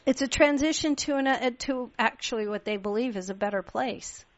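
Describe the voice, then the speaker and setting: A woman's voice: fairly high pitch, brisk, dry recording. Chatty woman radio host, lo-fi. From Pakistan. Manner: chatty, affirming listener. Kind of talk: interview.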